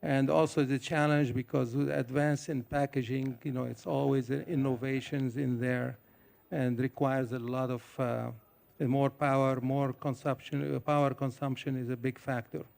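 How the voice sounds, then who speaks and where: A man's voice: medium pitch, flat delivery, normal speed, gravelly, darkish tone. A middle-aged man from Lebanon speaking as a conference panelist, hesitant with many fillers. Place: conference hall.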